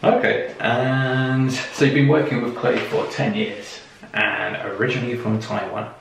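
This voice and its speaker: A man's voice: mid-range voice, flat delivery, measured pace, gravelly, fairly bright tone. A man hosting a casual interview in an informal, hesitant way. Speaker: interviewer and video host. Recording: echoey room, distant mic, faint noise.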